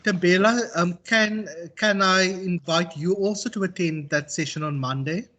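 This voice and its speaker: A South African man speaking as a university tutor in an informal online class, reflective and halting as he circles back to rephrase. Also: sits high, pushed and bright. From Western Cape, South Africa. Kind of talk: informal online lecture and discussion.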